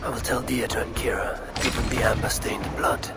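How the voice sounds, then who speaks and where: An actor playing a steady, grim male Viking warrior who speaks tersely. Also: mid-range voice, moderate pace, over loud music, natural voice. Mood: determined. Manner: terse, resolved.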